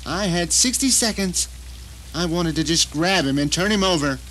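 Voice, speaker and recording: A man's voice: fairly high pitch, moderate pace, a bit bright, a little hiss or hum, natural voice, poor audio. An actor playing a bitter, deluded blind man who tells his story in a theatrical, self-righteous audio drama monologue. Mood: frantic, horrified.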